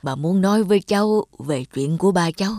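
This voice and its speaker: A woman voicing a worried old grandmother in a cartoon, talking in a slow, sorrowful, halting way. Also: lowish voice, expressive, close to an everyday voice.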